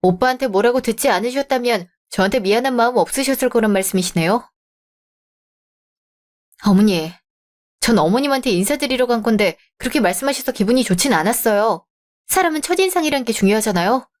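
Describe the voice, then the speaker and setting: A woman voicing a young bride-to-be in a radio drama, polite and measured but firm. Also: fairly high pitch, lively intonation, lightly acted. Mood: cool.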